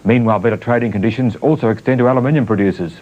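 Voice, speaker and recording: A man reporting business news in a measured, dry news voice-over. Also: mid-range voice, darkish tone. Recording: muffled old TV broadcast.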